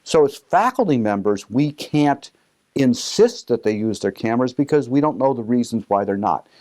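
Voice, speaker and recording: A man's voice: mid-range voice, lively intonation, moderate pace, a little mellow. A man who works as a teacher, talking in an explanatory interview style. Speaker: teacher, faculty member.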